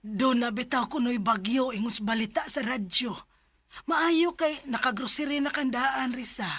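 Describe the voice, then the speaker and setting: A very high-pitched radio drama mother, fretting over her grown daughter. She fusses and exclaims, caring and worried at once. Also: moderate pace, a bit bright, slightly rough, no room sound. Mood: calm, caring. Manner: fussing, exclaiming.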